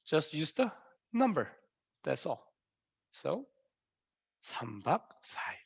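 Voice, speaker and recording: A man's voice: fairly high pitch, sing-song, normal speed, vocal fry, husky, dry recording. A young man from Korea with a Korean accent, a native Korean speaker teaching a language lesson in English, playful and quizzing his viewers with repeated questions.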